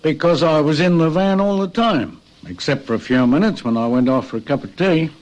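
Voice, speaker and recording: An actor playing a plain-spoken railway guard in a radio drama. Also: male voice, a little high, a little fast, a little mellow, natural voice. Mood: puzzled.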